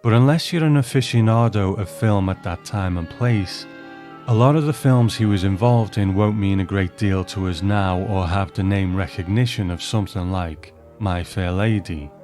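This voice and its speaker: A man hosting a commentary on classic film and television, telling it in a chatty, measured, scripted way. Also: low voice, darkish tone, faint music behind. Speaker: podcast host.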